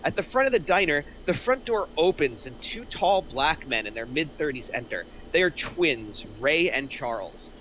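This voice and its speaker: A man's voice: fairly high pitch, animated, moderate pace, hardly any pauses, a bit bright, slight background noise, low-quality recording. A man reading scripted stage directions aloud in narration at a screenplay table reading.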